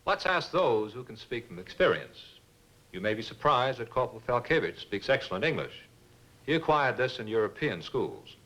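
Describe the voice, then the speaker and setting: A man's voice: medium pitch, sing-song, normal speed, fairly bright tone, husky, rough recording. A man, an army colonel, answering questions in a formal, assured manner in a scripted interview.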